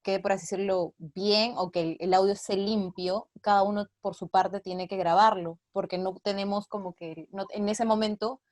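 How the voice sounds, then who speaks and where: A woman's voice: medium pitch, fairly even intonation, normal speed, few pauses, a little mellow, no room sound, clean studio sound. A woman, an actress giving an interview in casual explanatory talk, often checking in with short tag questions. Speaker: radio theatre actress being interviewed.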